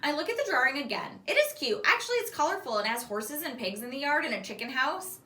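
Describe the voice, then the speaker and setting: A woman reading a children's novel aloud like a teacher, expressive and animated, acting out the dialogue. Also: sits high, quick pace. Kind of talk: expressive storybook read-aloud. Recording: roomy echo, distant mic.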